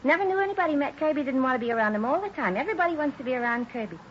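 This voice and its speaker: A woman's voice: sits high, very animated, very fast. A woman playing a chatty, naive, smitten young woman in a 1950s radio police drama, speaking in breezy slang. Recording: dull, noisy 1950s radio recording.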